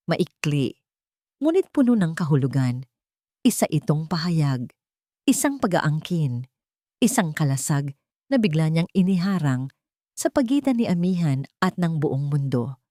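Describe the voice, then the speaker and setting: A woman narrating a scripted romance story in a deliberate, emotive, dramatic way. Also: sits low, mellow, no echo, clean studio sound.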